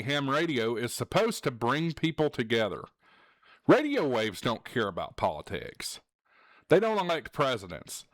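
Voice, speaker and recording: Guy doing a candid advice vlog, plain spoken and earnest, medium pitch, dry sound. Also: no echo. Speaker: YouTube channel host.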